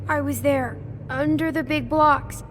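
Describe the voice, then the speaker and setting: A woman plays a small, timid boy in a video game. The voice is high and childlike, sounding scared. Faint ambient noise sits behind it. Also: fairly even intonation, unhurried, a bit bright. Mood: frightened. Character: timid, frightened little boy toad. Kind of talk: naturalistic video game acting.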